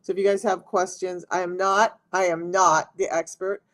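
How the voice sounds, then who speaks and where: A middle-aged woman with a raspy voice, chatting casually to viewers on a live stream as a health coach. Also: fairly low pitch, flat delivery, moderate pace, a bit bright. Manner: chatty, talks straight to viewers.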